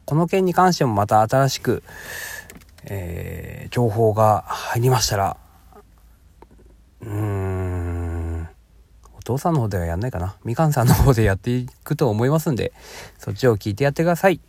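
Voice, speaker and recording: A middle-aged man hosting a casual solo podcast, talking to listeners hesitantly with frequent drawn-out filler sounds. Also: lowish voice, animated, gravelly, darkish tone. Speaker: podcast host.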